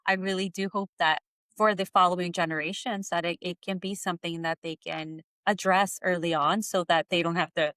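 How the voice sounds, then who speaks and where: A woman's voice: lowish voice, steady tone, brisk, fairly bright tone, smooth, no room sound. A woman in her thirties hosting a casual coaching interview in a conversational style. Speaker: podcast host and coach.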